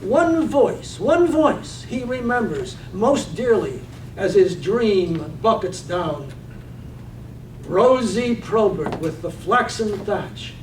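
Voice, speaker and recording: A man reading poetic scripted narration as a drama narrator, deliberate and lyrical. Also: sits high. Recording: roomy, distant mic, faint ambient noise.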